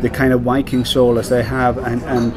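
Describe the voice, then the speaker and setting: A man's voice: mid-range voice, monotone, normal speed, a crowd in the background. A Danish man working as a whisky brand ambassador, speaking English in a casual interview, with many fillers and repeated words. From Denmark.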